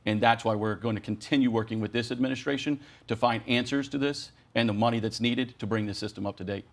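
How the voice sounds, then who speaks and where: A man's voice: medium pitch, fast talker. A man speaking as a union leader in a television news interview, making his case earnestly and emphatically in long, slogan-like sentences. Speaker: air traffic controllers' union president.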